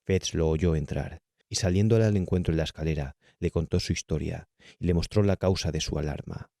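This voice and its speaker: A man with a Castilian Spanish accent narrating a horror audiobook in a measured, carefully enunciated way. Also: sits low, steady tone, gravelly, dark tone, dry recording, clean studio sound. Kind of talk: scripted horror story narration.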